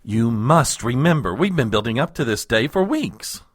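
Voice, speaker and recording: An actor playing a harried, world-weary chief of staff in a comedic audio drama, formal and exasperated. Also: male voice, medium pitch, sing-song, moderate pace, dry recording, studio quality. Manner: formal, long-suffering, exasperated.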